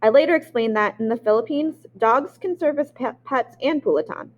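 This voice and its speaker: A woman's voice: on the high side, normal speed, highs cut off. A woman reading a memoir aloud. She reads expressively and sometimes stops to restart a word. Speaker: audiobook reader.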